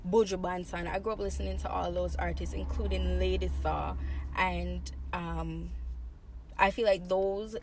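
A woman with a Jamaican accent, a musician guest in a casual interview, frank and relaxed, laughing often. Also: fairly low pitch, unhurried, gravelly, a little hiss or hum. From born in Boston, raised in Jamaica.

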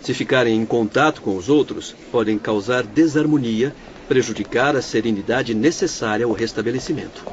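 A man's voice: mid-range voice, moderate pace, close to an everyday voice. Man acting composed, formal doctor in muffled radio drama. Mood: calm, explanatory. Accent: Brazilian. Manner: formal, explanatory. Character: courteous, composed male doctor guiding patients in the afterlife. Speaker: actor in a Brazilian radio serial. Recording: dull lo-fi radio recording, faint noise.

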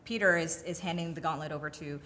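A woman with a notably low voice co-hosts a casual movie chat. She talks in an effusive, emphatic way, over faint background noise. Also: fairly even intonation, fast talker, bright, forceful tone. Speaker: podcast co-host.

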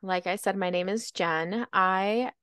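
A woman's voice: mid-range voice, measured pace, fairly bright tone, clean tone, no room sound, clean studio sound. A young woman from Baltimore co-hosting a podcast, telling stories in a chatty, upbeat way. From Baltimore, Maryland. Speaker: podcast co-host. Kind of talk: casual chat.

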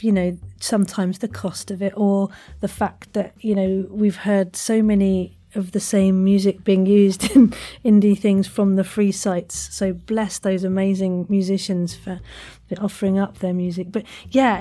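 Effusive woman host, mellow voice. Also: mid-range voice, fairly even intonation, unhurried, hardly any pauses. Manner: effusive, enthusiastic, informal. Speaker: podcast host and interviewer. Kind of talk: casual chatty interview.

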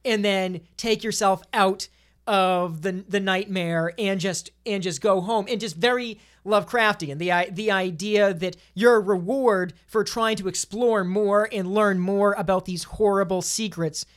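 A woman's voice: mid-range voice, a little slow. Woman in casual podcast discussion. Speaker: podcast panelist.

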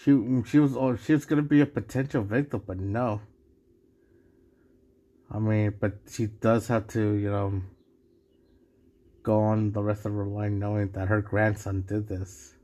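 A man's voice: fairly low pitch, level tone, a little slow, long pauses, mellow. A man from Mexico hosting a solo podcast, talking casually in a rambling way with many restarts and asides. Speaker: podcast host.

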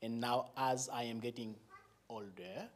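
Man, 40s, Haitian accent, Creole native; unhurried guest pastor, easy laugh. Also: mid-range voice. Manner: slow, warm and laughing, with frequent pauses. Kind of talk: church talk.

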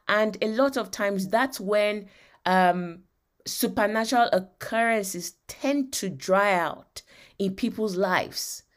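Emphatic Nigerian woman teaching on faith. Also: medium pitch. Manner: emphatic, repeats phrases and checks in with listeners. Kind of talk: motivational faith teaching with personal testimony.

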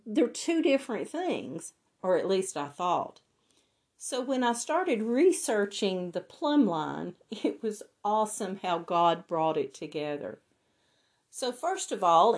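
A woman with a hoarse, raspy voice from laryngitis, teaching a Bible lesson and reading scripture in a deliberate way. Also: medium pitch, darkish tone.

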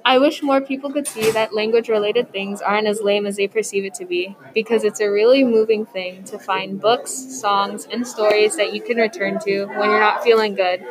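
A woman's voice: medium pitch, steady tone, moderate pace, hardly any pauses, low-quality recording. Teenage girl reading earnestly over a crowd. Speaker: student. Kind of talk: reading a prepared reflection.

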